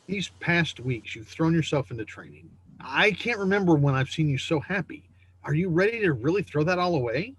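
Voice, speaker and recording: A man playing a cautious, firm and supportive parent of a teenager in a screenplay table read, with a husky voice. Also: a little high, lively intonation, fairly quick, fairly bright tone, rough recording. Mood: earnest, steady.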